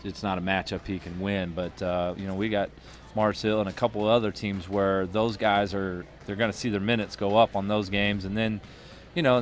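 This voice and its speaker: A middle-aged man with a low voice talks through a postgame interview as a basketball coach. He speaks into a headset mic in a small studio booth, with a faint hum behind him. His talk rambles, full of restarts and fillers.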